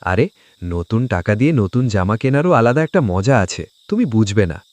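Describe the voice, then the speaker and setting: A man's voice: lowish voice, expressive, moderate pace, darkish tone, no echo, lightly acted. A man playing a poor, hardworking village father, earnest, meek and polite, in a moral-story cartoon. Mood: hopeful.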